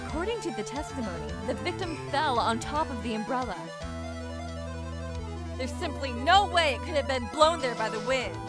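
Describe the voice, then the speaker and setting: Woman as young female attorney, determined, deliberate, highish pitch, courtroom fan dub, background music. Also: animated. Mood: assertive. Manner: assertive, deliberate. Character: determined, confident young female defense lawyer. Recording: music behind the voice.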